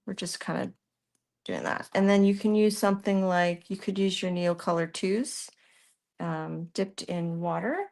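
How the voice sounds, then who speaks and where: A woman's voice: on the low side, measured pace, gravelly, a little mellow. A woman with a Canadian accent hosting a live art demonstration. She talks through her work as she goes, answers viewers' questions, and often trails off mid-sentence. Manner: chatty, thinks aloud while working, trails off mid-sentence. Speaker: art tutorial host.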